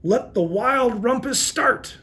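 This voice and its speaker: An American man voicing a wild, defiant little boy king from a picture book, loud and commanding. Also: extremely high pitch, lively intonation, takes it slowly, voice pushed up, heavily acted. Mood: bold, defiant.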